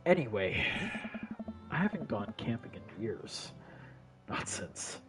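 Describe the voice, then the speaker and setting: A man voicing a gruff, terse, brooding whiskey-drinking dad in a visual novel game, with a breathy half-whisper. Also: fairly low pitch, big pitch swings, takes it slowly, over music, voice pushed down. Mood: brooding, reticent.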